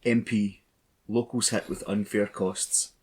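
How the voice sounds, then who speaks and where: A man's voice: fairly low pitch, little pitch movement, slow pace, slightly rough. A man reading local newspaper articles aloud as a volunteer. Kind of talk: scripted news reading for a talking newspaper.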